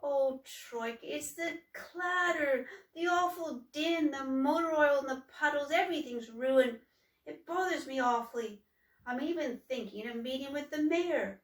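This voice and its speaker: A woman's voice: high voice, a little slow, voice pushed up. A woman voicing a friendly young male troll who speaks in an earnest, slightly pompous way, recorded from a distance in an echoey room. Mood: upset. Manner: earnest and polite, a little pompous.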